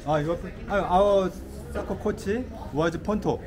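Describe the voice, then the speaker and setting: A man's voice: high-pitched, measured pace, a little mellow. Local, man, friendly, hesitant English, casual chat. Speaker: local passerby.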